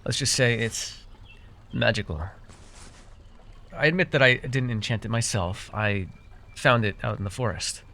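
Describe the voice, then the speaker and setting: A man's voice: mid-range voice, a little slow, vocal fry, long pauses, bright, forceful tone. Man acting as a grumbly but caring fae guide. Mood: calm, caring. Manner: exasperated, bantering, hesitant when flustered. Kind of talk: immersive fantasy roleplay ASMR acting.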